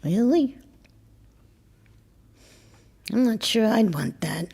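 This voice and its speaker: An actress playing a blunt, worldly older married woman in an intimate audio drama, with a husky, creaky voice and a wry, halting manner. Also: fairly high pitch, animated, warm tone. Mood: wry, confiding. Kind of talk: intimate two-person audio drama acting.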